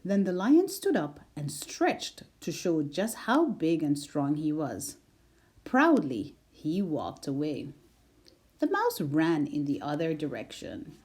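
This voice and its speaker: A woman reading a children's picture book aloud as storyteller, slow and expressive. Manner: slow and expressive, big pitch swings.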